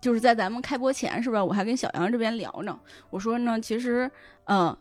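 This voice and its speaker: A woman's voice: a little high, brisk, no echo, soft background music. A woman talking casually as a podcast guest, explaining things step by step with plenty of examples. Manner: explanatory, illustrates points with examples and imagined reader voices. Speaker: guest, web fiction editor.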